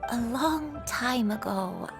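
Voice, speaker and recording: A woman voicing a frail, gentle, forgetful elderly grandmother in a video game, halting and apologetic. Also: fairly high pitch, expressive, creaky, music in the background, heavily acted. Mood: wistful, halting.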